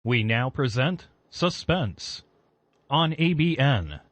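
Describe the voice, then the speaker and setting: Lively male network announcer. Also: mid-range voice, slow, no echo. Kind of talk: scripted station announcement.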